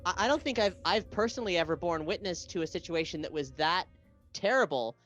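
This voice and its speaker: Woman host w a lowish voice, casual stream chat, halting n repeating herself, faint music. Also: lively intonation, fairly bright tone, lo-fi sound. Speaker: livestream host.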